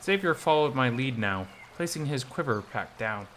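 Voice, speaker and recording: A man's voice: medium pitch, animated, moderate pace, a bit bright, a little hiss or hum. A man narrating a visual novel aloud in the first person, reading expressively.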